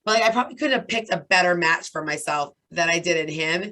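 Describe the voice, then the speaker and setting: A hoarse woman in her thirties with a raspy voice, chatting as a guest and telling a long story with lots of "like" and "right?". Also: lowish voice, fast, bright, forceful tone. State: hoarse from talking nonstop. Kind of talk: casual interview chat.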